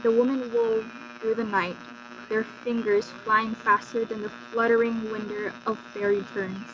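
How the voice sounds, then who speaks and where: A woman with a fairly high voice narrates a folktale from a script. Her delivery is that of a dramatic storyteller. The sound is muffled and lo-fi, with a clear electrical hum underneath. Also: little pitch movement, normal speed. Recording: dull lo-fi recording with loud mains hum.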